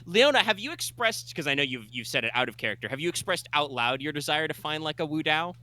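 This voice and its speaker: A man's voice: a little high, lively intonation, fast, bright, forceful tone. A man running a tabletop game as game master, explaining the world's lore with many fillers and restarts.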